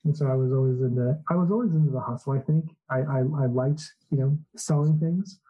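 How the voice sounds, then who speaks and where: Man, 40s, from Southern California; relaxed storyteller with a breathy edge, slightly dull recording. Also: medium pitch, fairly quick. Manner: relaxed storytelling, many fillers. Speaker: podcast guest, entrepreneur. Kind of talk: casual interview chat.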